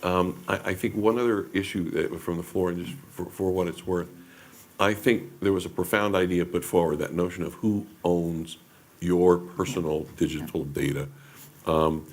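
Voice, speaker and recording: A man with a very deep voice speaks on a discussion panel. He stumbles over his openings, repeating words before he finds his point. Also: fairly even intonation. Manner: halting, stammering restarts.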